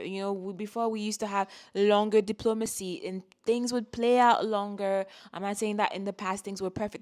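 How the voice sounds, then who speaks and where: A woman whose first language is French talks about astrology and spirituality in a loose, conversational way. She rambles, trips over words and restarts her sentences, keeping an earnest, reassuring tone. Her voice sits at an ordinary middle pitch. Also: darkish tone. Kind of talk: casual spiritual talk to camera.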